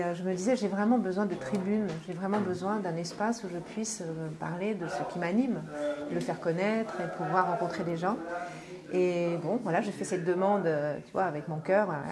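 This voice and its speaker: A woman's voice: lowish voice, a little fast. A middle-aged woman, a former teacher turned psychopedagogue, telling a personal story in an interview, with frequent hesitations. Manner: warm storytelling, many fillers. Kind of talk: vox pop interview. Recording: busy crowd behind.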